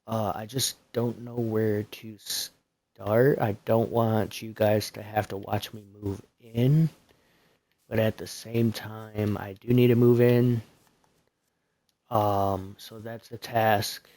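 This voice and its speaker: A soft-spoken man streaming a building game, talking in a casual, rambling way with lots of fillers as he walks through his build. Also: lowish voice, level tone, takes it slowly.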